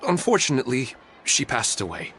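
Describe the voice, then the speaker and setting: A man playing a gentle, wistful, humble villager in a video game, speaking in a polite and hesitant way. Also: mid-range voice, sing-song, brisk, loud music behind the voice, close to an everyday voice.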